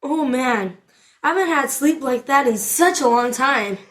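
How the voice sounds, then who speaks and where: A woman playing an excitable, emotional young friend in an audio drama, speaking expressively. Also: high-pitched, measured pace, background noise. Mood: sleepy, teasing.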